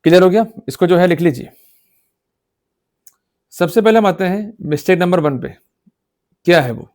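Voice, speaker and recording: Emphatic male lecturer, higher-pitched voice. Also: expressive, moderate pace, a little mellow. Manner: emphatic, exhortative, checks that listeners follow. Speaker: law lecturer. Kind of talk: explanatory lecture mixing Hindi with English legal terms.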